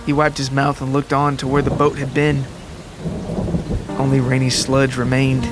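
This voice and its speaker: A man reading a scripted literary story as a dramatic narrator, with deliberate, descriptive phrasing. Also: medium pitch, monotone. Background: music and rain sounds.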